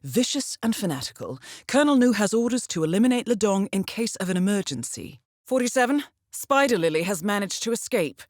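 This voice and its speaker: An actress playing a composed, coolly professional intelligence handler who guides an assassin in a video game, measured and dryly wry. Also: female voice, mid-range voice, big pitch swings, no echo, studio quality, natural voice. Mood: serious, briefing. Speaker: mission handler. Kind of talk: scripted video game mission briefing.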